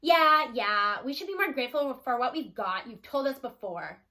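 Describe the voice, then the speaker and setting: A woman's voice: sits high, animated, normal speed, pushed and bright. A woman voicing a bored, cheeky young female cow in a storybook, with a dramatic manner. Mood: sarcastic.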